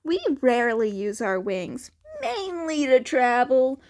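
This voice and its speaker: A woman's voice: on the high side, big pitch swings, slow pace, exaggerated voice. A woman voicing an innocent, eager teenage boy training as a hero in a story reading. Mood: casual, eager.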